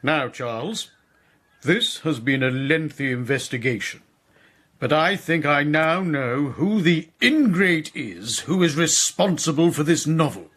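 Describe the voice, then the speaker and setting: An actor playing a blustering, indignant English duke with a pompous, aristocratic manner in a radio comedy-drama. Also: male voice, fairly high pitch, animated, a little slow, fairly bright tone. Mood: stern, suspicious.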